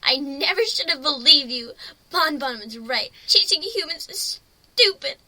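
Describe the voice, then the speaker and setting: A frightened, indignant little unicorn mare as a woman acts her in a dramatic story reading. Her voice is extremely high and piercingly bright, with big swings of pitch. She sounds plaintive and emotional. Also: expressive, unhurried, no echo, full character voice, low-quality recording. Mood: tearful bitter.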